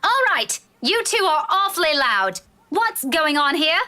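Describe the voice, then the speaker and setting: A woman's voice: sits high, sing-song, measured pace, bright, forceful tone, exaggerated voice. A woman playing an annoyed young female neighbour scolding people in a visual novel game. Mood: displeased, scolding.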